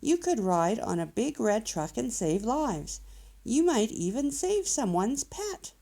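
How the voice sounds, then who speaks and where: Storybook professor bear, male, played by a woman: chatty teaching guide, encouraging, lively melody, slightly creaky. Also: on the high side, slow, heavily acted. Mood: eager, upbeat. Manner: encouraging, explanatory, emphatic. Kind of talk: children's storybook character voice.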